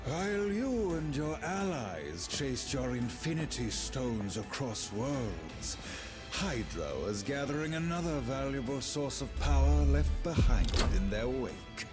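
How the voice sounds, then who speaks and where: A power-hungry male villain gloats smugly, drawing out his words very slowly with a lively lilt. Clear music runs behind him in this video game cutscene. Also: medium pitch. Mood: gloating. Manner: smug, drawn-out gloating.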